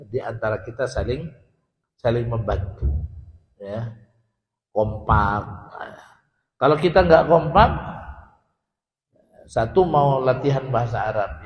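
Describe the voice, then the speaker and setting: Animated Indonesian man teaching in an echoey hall. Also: medium pitch. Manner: explanatory, repetitive, often chuckling, checking with listeners. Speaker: Arabic language teacher. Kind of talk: informal spoken lesson to a group. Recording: echoey hall with long reverb.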